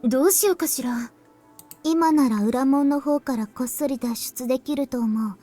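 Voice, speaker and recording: A woman playing a calm, composed high-school girl in an anime visual novel, speaking in a measured, matter-of-fact way. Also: faint music behind. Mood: calm, serious. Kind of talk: Japanese visual novel voice acting.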